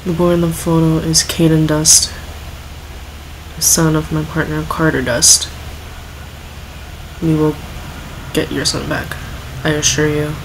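A woman's voice: sits low, little pitch movement, unhurried, gravelly, long pauses, a little hiss or hum, poor audio. A woman giving casual, offhand reaction commentary and reading text aloud as a video host.

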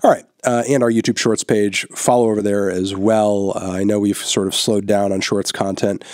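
A man's voice: lowish voice, moderate pace, no room sound. A man in his thirties with a stuffy nose, hosting a casual music review with blunt opinions and lots of filler words, on a studio mic in a small room. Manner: relaxed, frequent filler words, blunt verdicts. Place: small indoor room, newly set up. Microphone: large studio microphone on boom in front of him.

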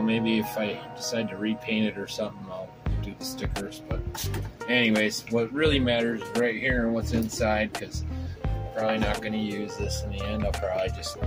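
Low-voiced man rambling over his workbench. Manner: rambling, thinking aloud with many fillers. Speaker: RC hobby channel host. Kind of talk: casual workbench show-and-tell. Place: indoor home workshop bench.

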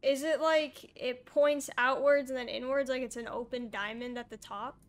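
A woman's voice: high voice, fairly even intonation, moderate pace. A young adult woman chatting casually as she plays a co-op puzzle game. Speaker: gaming video host and player. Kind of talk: casual chat during co-op puzzle gameplay. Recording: noticeable background noise and game ambience.

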